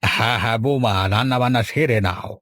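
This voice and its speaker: A man plays an older village father for a cartoon. He is kindly and sensible, with a medium-pitched voice recorded close and dry. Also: fast, fairly bright tone, no echo. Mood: hearty.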